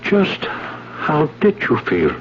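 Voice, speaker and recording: A man playing a gentle, bewildered priest in a 1970s radio drama, patient, hesitant and questioning. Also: a little high, wildly swooping pitch, drawn-out words, full character voice. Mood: probing, concerned. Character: gentle, bewildered fatherly male priest hearing a confession. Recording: dull, noisy 1970s radio broadcast.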